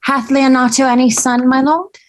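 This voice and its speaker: A woman's voice: high voice, steady tone, moderate pace, close to an everyday voice. A woman playing a wistful, prim, romantic young daughter at a screenplay table read, with dramatic, girlish delivery.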